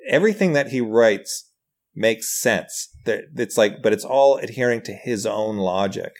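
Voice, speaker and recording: Low-voiced man thinking aloud. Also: animated. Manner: halting, restarts phrases, thinks aloud. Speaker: podcast guest, comedy writer. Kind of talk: casual podcast discussion.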